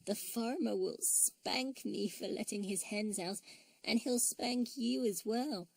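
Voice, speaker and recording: A woman's voice: mid-range voice, moderate pace, dry recording, voice pushed up, lightly acted, low-quality recording. Woman doing a small fretful girl bear. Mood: tearful. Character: small sweet girl teddy bear, anxious.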